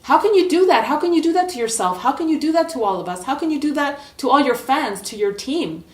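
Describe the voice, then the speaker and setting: A woman's voice: medium pitch, expressive, fairly quick, close to an everyday voice. A woman voicing a paternal but hot-tempered male football coach, pleading in repeated questions as part of a spoken story. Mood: outraged. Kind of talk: acted dialogue in a spoken story. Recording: echoey room, distant from mic.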